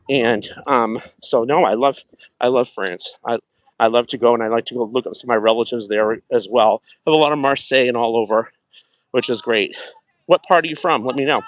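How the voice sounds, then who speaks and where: A man's voice: mid-range voice, no echo. A middle-aged man hosting a casual livestream, talking while he walks a track outdoors at night and greeting viewers. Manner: chatty, reflective, greets viewers warmly by name. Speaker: wellness livestream host.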